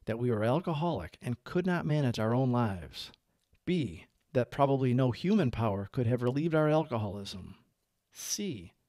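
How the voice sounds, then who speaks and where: Expressive man reading a book aloud. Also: medium pitch, dry recording, studio quality. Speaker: audiobook reader. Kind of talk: read-aloud narration of a book.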